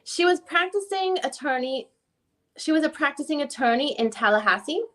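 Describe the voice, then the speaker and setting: Bright, high-voiced woman reading as a female lawyer. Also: animated, normal speed. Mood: probing. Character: female defense lawyer questioning a witness in a deposition. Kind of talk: dramatic reading of a legal deposition transcript.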